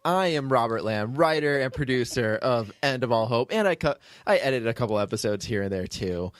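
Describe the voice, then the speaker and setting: Male podcast host for informal banter; deadpan teasing, medium pitch, close and echo-free. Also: brisk. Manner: dry teasing. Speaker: podcast host, audio drama writer and producer. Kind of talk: casual joking podcast chat. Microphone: close podcast microphone.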